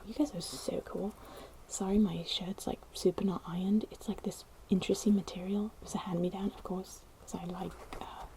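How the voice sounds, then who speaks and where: A young woman with a put-on posh British accent, whispering a gentle, rambling ASMR chat into a close microphone. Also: mid-range voice, steady tone, moderate pace, slight background noise, rough recording. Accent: put-on posh British English. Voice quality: whispered, hushed. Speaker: ASMR creator. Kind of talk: soft-spoken ASMR chat. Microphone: close sensitive ASMR microphone.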